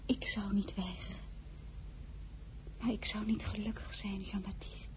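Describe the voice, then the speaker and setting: An actress playing a tender young newlywed wife who tells her own story in a radio drama, with a husky, breathy voice. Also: medium pitch, little pitch movement, measured pace, a little mellow, in a whisper, natural voice. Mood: tender, hushed. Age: young adult. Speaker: narrator and lead character. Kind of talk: radio drama with first-person narration.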